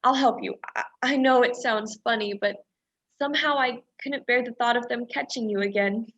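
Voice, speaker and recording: A woman's voice: fairly high pitch, moderate pace, a bit bright, muffled recording, lightly acted. A woman playing a fretful, reluctant young female companion, flustered and doubting, in a radio play. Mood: tender, flustered.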